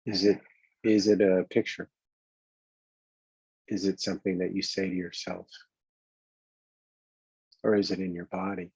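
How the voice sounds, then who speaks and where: A man with a husky voice leads a guided meditation as a coach, speaking gently and conversationally, unhurried and halting, with long pauses. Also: deep voice, level tone. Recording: dull, boxy, roomy lo-fi sound.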